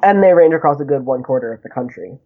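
High-voiced young man, tinny husky line. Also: animated, fast. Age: young adult. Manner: chatty, rambling, with frequent "like" and laughs. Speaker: guest, graduate student. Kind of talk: casual conversation. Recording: tinny phone-like husky sound.

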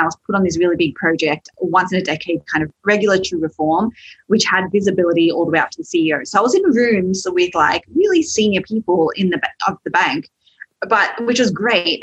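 A woman's voice: medium pitch, a bit bright, slightly rough, low-quality recording. A young Australian woman with an Australian accent, telling her story as a podcast guest in a chatty way, laughing often. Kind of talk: podcast interview.

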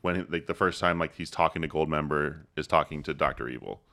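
A man co-hosting a casual podcast, recapping a film in excited chat and acting out quotes in funny voices. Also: very deep voice, fairly quick, vocal fry. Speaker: podcast co-host.

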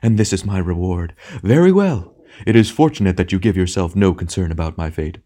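Guy doing a pompous ghost tragedian for a storybook, way over the top, wounded and bullying, voice pushed high and loud. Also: lively intonation, moderate pace, lightly acted. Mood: indignant. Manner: declamatory, melodramatic, bombastic. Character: bullying theatrical tragedian phantom, grandiose wounded self-pity. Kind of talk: dramatic audiobook character acting.

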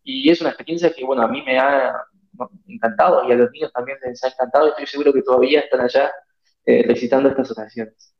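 South American missionary priest, gravelly, earnest interview answers, dull echoey audio. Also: male voice, medium pitch. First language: Spanish. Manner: earnest, reflective, many pauses and fillers.

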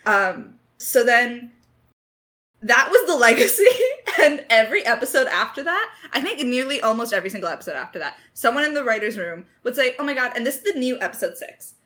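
A woman's voice: fairly high pitch, sing-song, a little fast, pushed and bright. A woman telling stories casually as a writer in a creator Q&A, with playful mock-dramatic emphasis. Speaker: lead writer of an audio drama.

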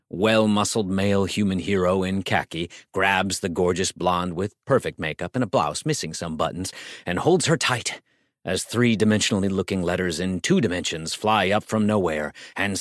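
A man's voice: sits low, moderate pace, no echo, clean studio sound. An American man narrating an audiobook in a theatrical, mock-grave, tongue-in-cheek way with emphatic delivery.

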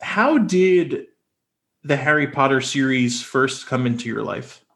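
A man's voice: mid-range voice, lively intonation, a little slow, fairly bright tone. A man hosting a podcast, asking questions in a chatty way with playful asides. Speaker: podcast host. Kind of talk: casual interview. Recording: echoey room.

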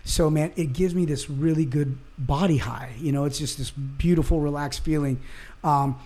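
A man's voice: a little high, steady tone, normal speed, dark tone. A man in his forties chatting casually and informally, like a guest on a talk show. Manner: informal, says man and dude. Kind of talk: casual chat.

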